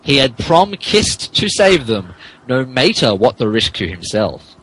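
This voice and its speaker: Podcast guy doing a dramatic fanfic narration, very animated, trips over words, slight background noise. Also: mid-range voice, a little slow, poor audio. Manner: theatrical, stumbling over garbled text. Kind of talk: mock-dramatic fan fiction reading with asides.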